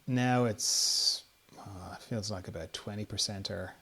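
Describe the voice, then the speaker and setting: A man hosting a podcast, chatting casually in a halting, anecdotal way. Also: fairly low pitch, sharp tone. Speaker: podcast host. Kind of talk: casual chat.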